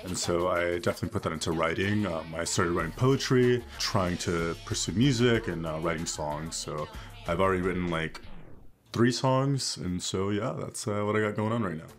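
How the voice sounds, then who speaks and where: A man's voice: low-pitched, normal speed, soft background music. A man in his early twenties with a Californian accent, casually introducing himself as a dating show contestant. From San Diego, California.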